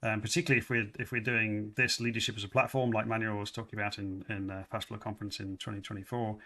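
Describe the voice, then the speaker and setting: A man's voice: on the low side, level tone, fast, sharp tone. A man hosting a casual livestream chat, talking loosely with many fillers and repeated words. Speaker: livestream host.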